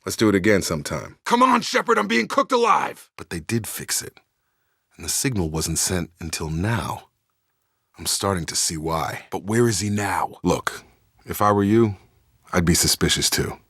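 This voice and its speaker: A man playing a steadfast, guarded space soldier in a video game, speaking in a measured, plain-spoken, earnest way. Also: deep voice, sing-song, creaky, fairly bright tone, breathy edge, dry recording, clean studio sound, full character voice. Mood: earnest, businesslike, then urgent, shouting, then subdued. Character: steadfast young male space soldier, guarded, duty-minded. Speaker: squadmate in a science fiction game. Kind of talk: video game voice acting, naturalistic dramatic dialogue.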